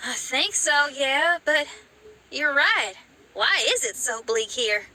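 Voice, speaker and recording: A woman's voice: high voice, lively intonation, slow, pushed and bright, faint music behind, full character voice, rough recording. A woman voicing a spirited, easygoing young woman in a video game, casual and breezy in manner. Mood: puzzled.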